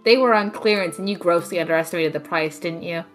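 A woman's voice: on the low side, fast talker, faint music behind, close to an everyday voice. A woman playing a dry, affectionately sarcastic young homebody, teasing her best friend in an audio drama. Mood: dry, fond. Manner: wry, teasing.

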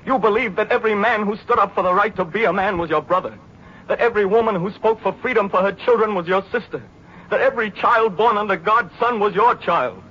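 An actor playing a brash, cynical young American navy pilot turned idealist, in an intense, theatrical 1940s radio drama monologue. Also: male voice, high-pitched, fairly quick, bright, forceful tone, breathy edge, exaggerated voice. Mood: fervent, rising. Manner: intense, theatrical, searching delivery. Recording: noisy, dull 1940s radio recording.

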